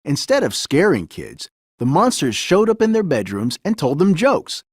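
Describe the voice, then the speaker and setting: A man narrates a children's storybook in an expressive, dramatic way. His fairly high voice swings freely up and down, and the sound is close and dry. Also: moderate pace, husky, dry recording. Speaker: storybook reader. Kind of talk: children's story read-aloud, scripted narration.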